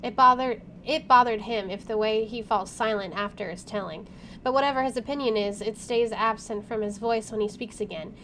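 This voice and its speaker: A woman's voice: on the high side, brisk, pushed and bright, a little hiss or hum. A woman playing a visual novel, reading the story aloud and dropping in casual asides. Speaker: game player, channel host.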